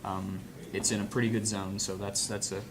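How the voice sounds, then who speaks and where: A man giving a casual hands-on tutorial in an informal, halting way, thinking aloud as he works as an instructor. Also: fairly low pitch, level tone. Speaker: instructor demonstrating audio equipment. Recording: clearly noisy room recording with handling sounds.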